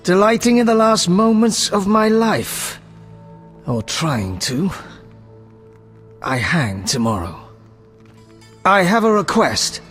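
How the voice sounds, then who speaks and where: A wry, polite elven prisoner in a fantasy game. He talks slowly in a high voice with big, languid swoops of pitch. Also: big pitch swings, fairly bright tone, faint music behind, exaggerated voice. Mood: wry, wistful. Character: wry, courteous male elf prisoner awaiting hanging. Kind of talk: video game voice acting.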